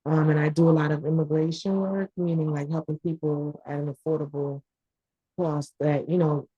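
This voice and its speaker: A woman's voice: low-pitched, flat delivery, normal speed, mellow, breathy edge, dry recording, muffled recording. A woman whose first language is Haitian Creole, a small business owner talking about her business in a live online class over a video call, candid and hesitant, with many fillers. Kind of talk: casual chat.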